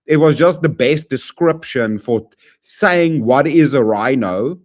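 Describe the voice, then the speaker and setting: Rambling streamer man, lively pitch. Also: measured pace. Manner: rambling, talks to chat, swings from loud to mumbled. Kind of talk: casual live-stream chat.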